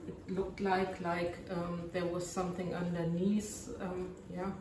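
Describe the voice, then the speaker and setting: A German woman with a German accent, narrating her resin crafting casually and often pausing to search for English words. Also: lowish voice, little pitch movement, roomy sound, far from the mic, background noise.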